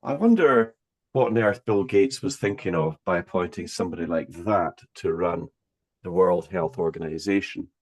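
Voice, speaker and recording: A man's voice: deep voice, moderate pace, darkish tone. A man hosting an interview, speaking in an emphatic, rhetorical way. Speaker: interviewer, host.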